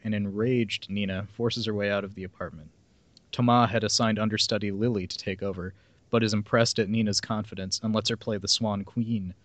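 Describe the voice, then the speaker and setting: A man's voice: on the low side, steady tone, brisk, fairly bright tone, no room sound. A man hosting a podcast, reading a plot summary aloud with casual asides. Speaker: podcast host reading film synopses.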